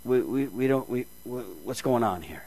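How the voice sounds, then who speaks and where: A man's voice: mid-range voice, animated, normal speed, warm tone, voice pushed down. Preacher voicing a flustered, stammering fisherman disciple. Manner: stammering, panicky self-talk. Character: flustered impulsive fisherman disciple, grown man.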